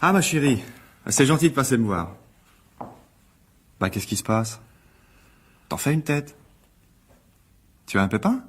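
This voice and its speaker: An actor playing a spiteful, jealous newspaper critic with an indignant manner in a French radio drama. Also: male voice, medium pitch, very animated, a little slow, long pauses, a little hiss or hum. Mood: affectionate. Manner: scornful, indignant.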